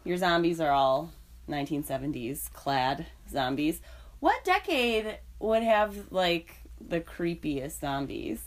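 Woman with a stuffed-up cold, lowish voice, bouncy pitch, chatty and giggly. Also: sing-song, slow. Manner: chatty, laughing, tipsy banter. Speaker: podcast co-host. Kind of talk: casual chat.

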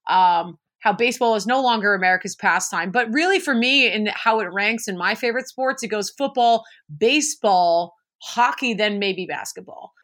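A woman's voice: medium pitch, moderate pace, hardly any pauses, fairly bright tone. A woman from Boston co-hosting a podcast, chatting casually with lots of fillers as she introduces a guest. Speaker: podcast co-host.

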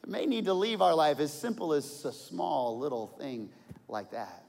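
A pastor in his forties preaching a sermon, telling stories and swinging from quiet asides to loud outbursts. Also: fairly high pitch, sing-song, normal speed, creaky. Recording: long hall reverb.

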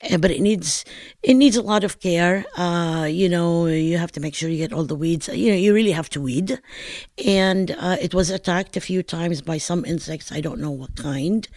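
A woman's voice: fairly low pitch, moderate pace, darkish tone, no room sound, studio quality. An older woman from Palestine with a northern Palestinian accent, chatting in an oral history interview as a home cook, telling stories in a digressive, talkative way.

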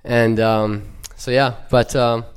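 A young man from Ukraine with no accent, giving a halting, earnest personal church testimony full of fillers and restarts. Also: mid-range voice, flat delivery, clean tone.

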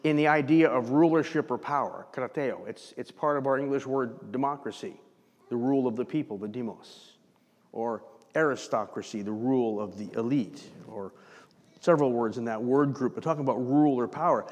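Animated pastor preaching in a reverberant hall. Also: male voice, medium pitch, a little fast. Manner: animated preaching with rhetorical questions. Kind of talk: sermon. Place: church sanctuary.

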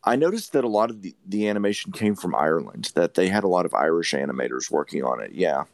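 A man's voice: sits low, lively intonation, fast talker, gravelly, dry recording, clean studio sound. A man co-hosting a podcast, giving casual film opinions emphatically and repeating phrases for stress. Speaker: podcast co-host. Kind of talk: casual film review chat.

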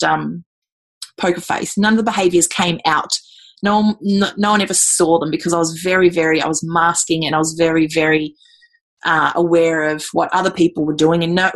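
A woman's voice: fairly low pitch, a bit bright. A middle-aged woman answering interview questions in a thoughtful way, with many ums and repeated words. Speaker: guest.

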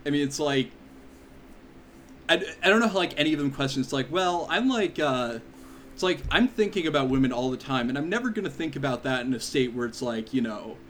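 A man co-hosts a podcast in loose, joking chat, wandering off into tangents and filling pauses with "like". His voice sits at an ordinary pitch. A faint hum of fans runs under the recording. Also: expressive, a bit bright. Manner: joking, rambling, fond of filler words. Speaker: podcast co-host. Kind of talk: casual comedic chat. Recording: faint room noise, fans in background.

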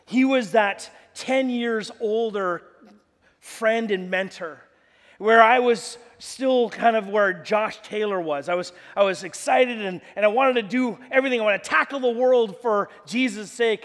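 Male pastor, high-pitched, loud and animated, preaching in a church hall with long reverb. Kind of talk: sermon.

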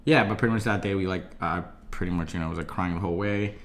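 Low-voiced young man, casual and chatty. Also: quick pace, roomy sound. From Tanzania. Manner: casual, filler-heavy, swears and laughs easily. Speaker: podcast guest. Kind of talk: casual interview.

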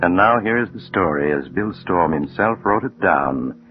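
Sinister male storyteller, deep voice, deliberate, ominous, genial, 1940s radio mystery, loud music behind. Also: expressive, dull audio. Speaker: host and narrator of a mystery series.

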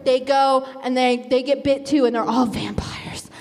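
Female, late teens, high-pitched, chatty and rambling improv storyteller, long hall reverb. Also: steady tone, normal speed, a little mellow. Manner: rambling, conversational, peppered with "like" and "you know". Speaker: game show contestant. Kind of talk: improvised comic movie pitch, casual chat.

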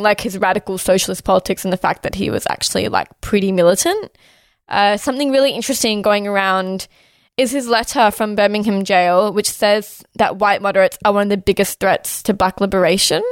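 A young woman hosting a podcast in casual chat. She has a sore throat, so her voice is slightly hoarse, and she talks fluently with many "like" and "uh" fillers. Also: medium pitch, no room sound, clean studio sound. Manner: chatty, fast-flowing, with "like" and "uh" fillers. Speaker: podcast host.

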